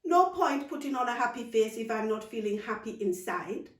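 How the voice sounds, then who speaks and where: Storybook child, played by a woman: naive, sulky little girl, halting, expressive pitch, roomy recording. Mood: sad. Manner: halting, childlike, dialect grammar. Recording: roomy, distant microphone.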